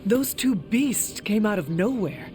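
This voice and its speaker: A man playing a guarded, blunt monster hunter who speaks formally and deliberately, deadpan, in a video game drama. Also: high voice, faint music behind. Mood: puzzled, guarded.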